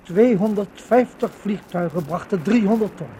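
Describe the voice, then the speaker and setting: An actor playing an earnest chronicler of the liberation, speaking formally and dramatically in a 1950s Dutch radio docudrama style. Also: male voice, high voice, animated, normal speed, dark tone. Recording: noisy 1950 radio recording.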